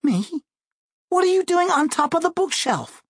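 A man's voice: very high-pitched, very animated, normal speed, vocal fry, a bit bright, no room sound, studio quality, voice pushed up, full character voice. A man voicing an exasperated, worried young schoolgirl in an audiobook, urgent and questioning. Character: exasperated, worried sixth-grade little sister. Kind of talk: audiobook character voice.

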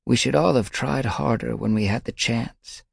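A man's voice: medium pitch, normal speed, vocal fry, breathy edge, no echo, clean studio sound, voice pushed up. A man voicing a regretful clan cat who murmurs.

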